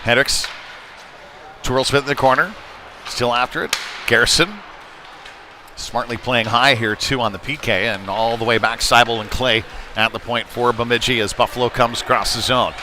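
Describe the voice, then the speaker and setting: Male hockey play-by-play commentator, conversational and folksy, recorded amid clear arena noise. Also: mid-range voice, steady tone, moderate pace, long pauses, bright, forceful tone. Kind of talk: live youth hockey game commentary. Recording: noisy rink ambience behind voice.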